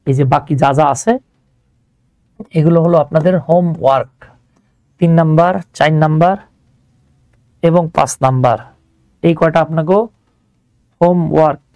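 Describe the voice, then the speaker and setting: A Bangladeshi man speaks in a fairly high but mellow voice, recorded close and dry. He teaches grammar to online students informally, breaking things into steps. He often asks a question and then answers it himself. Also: dry recording. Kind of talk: live online lesson, explaining step by step to students.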